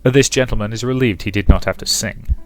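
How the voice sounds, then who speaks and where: Gallant older gentleman, played by a man, courtly. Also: on the low side, a little fast, soft background music, lightly acted. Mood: earnestly courtly. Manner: formal, mock-indignant.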